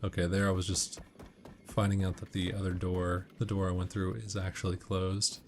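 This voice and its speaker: A man's voice: deep voice, completely flat delivery, normal speed, a little mellow, a little hiss or hum. A man giving casual, instructional game commentary, walking through tips step by step. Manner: explanatory, instructional. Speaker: gamer giving walkthrough tips. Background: game music and gunfire.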